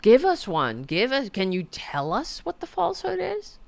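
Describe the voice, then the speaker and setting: A woman's voice: on the high side, wildly swooping pitch, normal speed, creaky. A woman hosting a podcast with casual political commentary, sarcastic, mocking and profane, often exasperated and repeating her demands. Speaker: podcast host.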